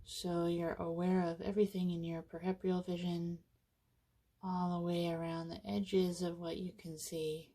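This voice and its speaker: A woman leading a guided meditation in an informal, conversational way, with soothing, casual phrasing and frequent pauses. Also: on the low side, little pitch movement, mellow.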